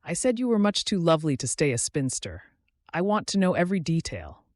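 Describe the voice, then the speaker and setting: Guy doing a sweet earnest young woman, affectionate, pitched up, historical audiobook, dry sound. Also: big pitch swings, brisk, dry recording. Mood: delighted. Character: warm, eager young woman, affectionate friend.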